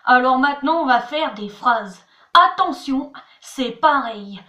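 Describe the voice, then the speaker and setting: A woman teaches a homemade lesson from her notebook in a high, bright voice. She takes her time, spelling each word out letter by letter and pausing on "voilà". Her voice rings around a bare, echoey room. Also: animated. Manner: chatty, repeats "voilà" and "attention", spells words letter by letter. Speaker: channel host teaching words.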